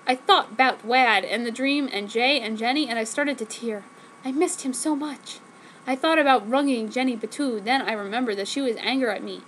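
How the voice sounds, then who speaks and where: A woman giving a theatrical, mock-dramatic comedic reading as narrator, deliberately overwrought. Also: fairly high pitch, a bit bright, a little hiss or hum, rough recording. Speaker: narrator reading fan fiction aloud.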